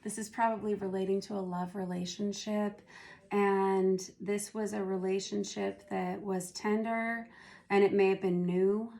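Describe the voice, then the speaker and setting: A hoarse woman who is losing her voice, doing a casual tarot reading and thinking aloud as she turns the cards. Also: medium pitch, little pitch movement, unhurried, warm tone. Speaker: tarot reader.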